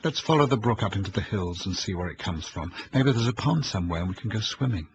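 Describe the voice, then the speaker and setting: A man voicing an idle, mocking young man who chants in a nasal monotone. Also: on the low side, fast, muffled recording, noisy background. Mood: eager. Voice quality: nasal monotone when singing.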